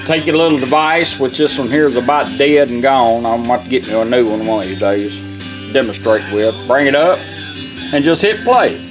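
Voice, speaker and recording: A man's voice: mid-range voice, moderate pace, music in the background. A man giving a casual, plain-spoken hands-on product demonstration in an informal way. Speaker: car radio restorer demonstrating product.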